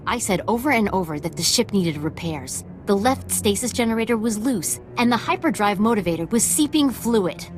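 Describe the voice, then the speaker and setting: A woman's voice: mid-range voice, animated, normal speed, hardly any pauses, music in the background, natural voice. Woman playing a blunt, sardonic military quartermaster. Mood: insistent. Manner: sarcastic, blunt. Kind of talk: video game dialogue acting.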